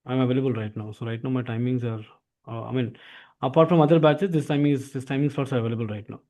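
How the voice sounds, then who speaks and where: Fast-talking Indian tutor, mellow male voice. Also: mid-range voice, steady tone. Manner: repetitive, often tags sentences with "actually". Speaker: SAP course tutor. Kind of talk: casual sales conversation with a prospective student.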